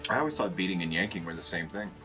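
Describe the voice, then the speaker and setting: A man's voice: sits low, lively intonation, rapid-fire, pushed and bright. A man playing a tabletop game, trading casual, sarcastic wisecracks as he talks. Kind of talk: casual joking banter. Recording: music faintly behind the voice. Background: background music.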